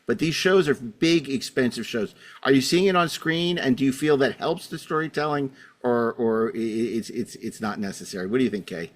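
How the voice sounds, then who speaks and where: A man's voice: mid-range voice, expressive, brisk, hardly any pauses. Opinionated male host with a mid-range voice. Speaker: podcast host. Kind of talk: casual panel moderation and commentary.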